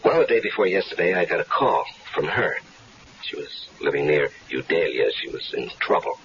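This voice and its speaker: A man's voice: extremely low pitch, expressive, normal speed, fairly bright tone, a little hiss or hum. An actor playing an easygoing stranger who tells his story in a wry, casual way, in a 1970s radio drama. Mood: storytelling. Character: easygoing stranger caught up in a plot. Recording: dull lo-fi 1970s radio recording.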